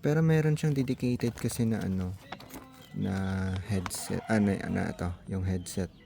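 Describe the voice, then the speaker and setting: A man's voice: lowish voice, moderate pace, creaky, warm tone, slight background noise. A Filipino man giving a casual hands-on gadget walkthrough, thinking aloud tentatively and mixing in English technical words. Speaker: vlogger.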